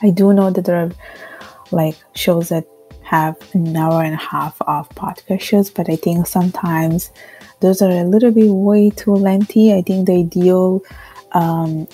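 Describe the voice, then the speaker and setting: A woman's voice: lowish voice, steady tone, measured pace, dark tone, slightly rough, faint music behind. A woman from Italy answering interview questions as a podcast guest in a conversational way. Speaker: podcast guest working in finance.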